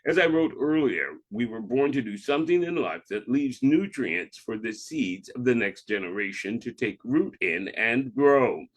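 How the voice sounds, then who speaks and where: Male reverend, mid-pitched, deliberate and measured, delivering a sermon-like devotional reading. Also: lively intonation, slightly rough, low-quality recording.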